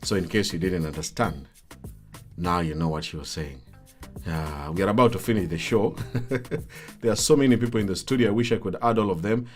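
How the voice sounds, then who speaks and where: A man's voice: sits low, fairly quick, pauses often. A man hosts a live talk show. He addresses listeners and guests in a conversational way, with faint music playing behind him.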